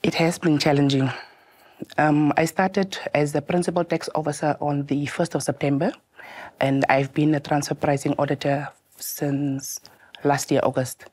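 A woman with a loud voice, answering interview questions in a studio in a lively, joking way with hesitant restarts. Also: deep voice, normal speed. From Namibia. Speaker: tax officer guest. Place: TV studio, seated.

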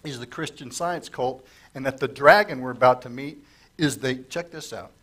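A man's voice: mid-range voice, normal speed, a bit bright. A middle-aged man preaching a conversational Bible-study sermon in a church sanctuary, speaking at a lectern microphone while his voice is giving out. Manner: conversational, asks the congregation questions. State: losing his voice, coughing. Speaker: pastor. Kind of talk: sermon, verse-by-verse Bible teaching.

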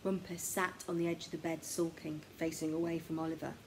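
A woman reading a children's story as narrator, expressive and dramatic, sometimes dropping to a hush. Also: deep voice, a little fast, slight background noise, poor audio. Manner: expressive, dramatic, hushed at times. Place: room at home, indoors.

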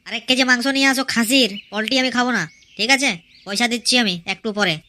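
A woman's voice: a little high, fast, pushed and bright. A performer voicing a brash young village man in a cartoon, cocky and crude, bossing his family around. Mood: boastful.

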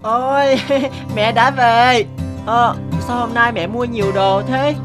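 A woman's voice: a little high, fairly even intonation, slow. Little boy,, innocent, inquisitive, cartoon dub, background music. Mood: excited.

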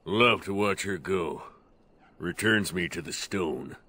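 Slow, swooping lecherous dwarf. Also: male voice, low-pitched, lively intonation, pushed and bright, husky, heavily acted. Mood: leering. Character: crude, lecherous, cheeky male dwarf rogue. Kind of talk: video game voice acting.

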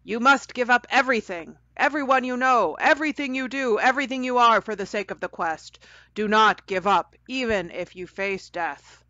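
Woman putting on a stern bossy sorceress, booming and commanding, loud, dull dry audio. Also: expressive, normal speed, no echo.

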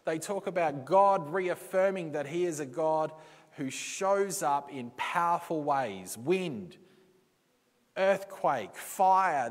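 A man preaching a sermon in a church, slow and deliberate, with dramatic pauses and quotes he acts out. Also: a little high. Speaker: pastor. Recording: reverberant church hall.

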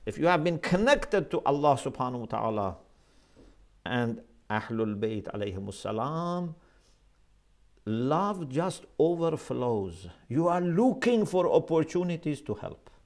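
A man's voice: on the high side, very animated, a little slow, darkish tone. A middle-aged man gives a religious lecture in an earnest, emphatic manner, speaking into a lapel mic in a small office. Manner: earnest, emphatic, gestures with his hands. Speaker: Islamic scholar lecturing.

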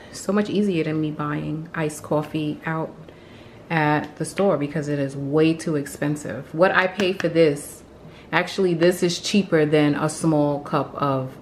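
A woman's voice: deep voice, a little hiss or hum. A woman in her thirties chatting casually in her kitchen, venting with small laughs while showing groceries. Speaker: home vlogger. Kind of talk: casual grocery haul and cleaning chat. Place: home kitchen. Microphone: handheld phone camera.

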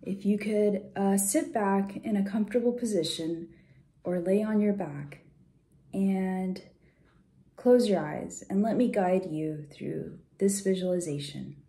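A woman leading a guided visualization in a soft, gentle, soothing way, unhurried with pauses and at times breathy. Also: mid-range voice. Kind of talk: guided meditation, soft slow instruction.

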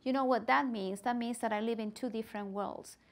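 A woman's voice: a little high, fairly even intonation, a little fast. A middle-aged woman presenting at a teacher workshop, talking animatedly and with emphasis in a meeting room. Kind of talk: workshop discussion.

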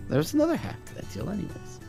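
A man's voice: sits high, wildly swooping pitch, rapid-fire, creaky, dark tone, soft background music, exaggerated voice. A man playing an evasive, sarcastic supernatural deal-maker in an improvised game roleplay, often hesitant. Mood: sardonic, evasive.

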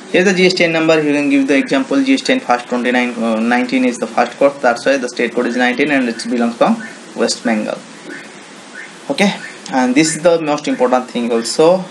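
A man with an Indian accent presenting an informal software walkthrough in halting, repetitive, non-native English phrasing. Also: medium pitch. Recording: noisy home-made screen recording.

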